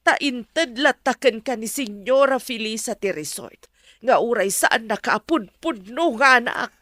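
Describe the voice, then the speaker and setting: A frank household confidante in a drama. She has a slightly high voice in a close, dry recording and speaks firmly, explaining with reproach. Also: lively intonation, a little slow, fairly bright tone, dry recording. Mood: solemn, confessing. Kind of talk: drama dialogue.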